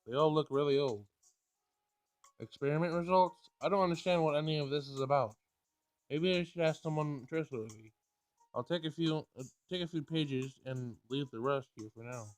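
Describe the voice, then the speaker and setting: A man doing a casual game playthrough, reading dialogue aloud and thinking out loud in informal, slangy language. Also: fairly high pitch, moderate pace, poor audio. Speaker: let's play gamer.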